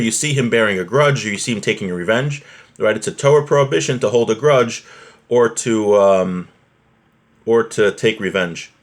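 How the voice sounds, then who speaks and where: A man giving an informal religious lesson, reading from a text and explaining it conversationally with everyday examples and check-in questions. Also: on the low side. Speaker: religious teacher.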